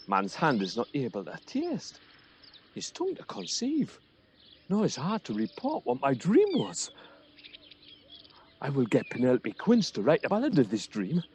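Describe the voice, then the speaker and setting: Guy doing excitable weaver who thinks hes a great actor, hammy shakespeare radio play, pitch all over the place. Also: a little hiss or hum. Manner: theatrical, chuckling, self-important.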